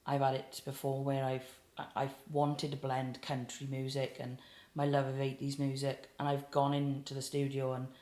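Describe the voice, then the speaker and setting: Welsh woman, late 20s, casual guest, mid pitch, echoey room. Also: moderate pace, few pauses. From South Wales. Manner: chatty, relaxed, with frequent fillers. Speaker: guest singer-songwriter. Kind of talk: casual interview chat. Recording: roomy echo.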